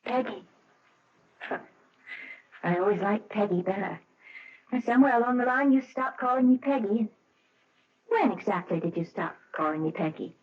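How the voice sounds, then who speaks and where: A woman's voice: mid-range voice, lively intonation, moderate pace, long pauses, natural voice. A middle-aged woman playing an anxious, wistful wife in a radio mystery drama, hesitant and emotional, often breaking her phrases. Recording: muffled, dull old radio recording.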